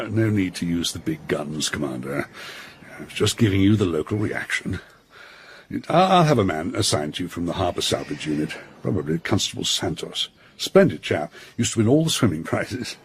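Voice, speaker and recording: An actor playing a genteel, cautious older colonial governor in a radio drama, with a British accent and an urbane, fussy manner. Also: male voice, low voice, sing-song, moderate pace, a little hiss or hum. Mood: reluctant, placating.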